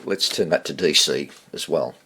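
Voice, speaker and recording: A man giving casual, unscripted hands-on repair commentary, thinking aloud in short fragments with a husky, gravelly voice. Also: extremely low pitch, moderate pace, sharp tone. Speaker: hobbyist radio repairer demonstrating on video.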